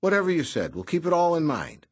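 A man's voice: on the high side, wildly swooping pitch, fast, husky, voice pushed up, full character voice. A man voicing a jolly, plump, bald middle-aged bureaucrat who is cheerful and evasive.

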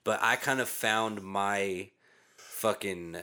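A man's voice: on the low side, takes it slowly, sharp tone, smooth. A man in his thirties hosting a podcast, talking casually with hesitant, rambling, profane phrasing. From Oregon. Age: 30s. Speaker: podcast host. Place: home recording studio.

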